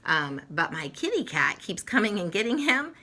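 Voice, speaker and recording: A woman reading a children's story aloud in an expressive way, with dramatic emphasis for young listeners. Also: mid-range voice, normal speed. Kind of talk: children's storybook read-aloud with informal introduction.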